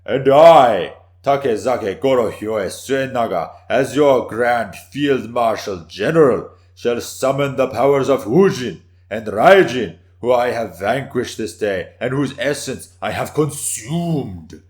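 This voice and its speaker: Man acting a booming, boastful samurai warrior. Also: expressive, slow, few pauses, a little mellow. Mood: fervent, valiant. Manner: declamatory, bombastic, powerful. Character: boastful, fiery male samurai warrior, theatrically grandiose.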